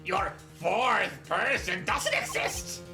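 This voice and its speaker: A woman voicing a frantic male prosecutor who bursts into shrill, panicky outbursts. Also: animated, takes it slowly, roomy sound, music in the background.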